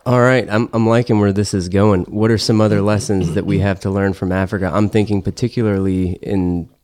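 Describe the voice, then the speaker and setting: A man hosting a conversational interview, building dramatic lists with pauses between items. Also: on the low side, fairly even intonation, darkish tone. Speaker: interview host.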